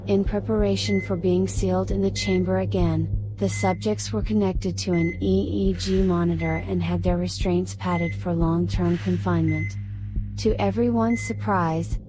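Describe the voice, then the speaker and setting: A woman narrating a horror story in a steady, measured scripted delivery. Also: lowish voice. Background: music.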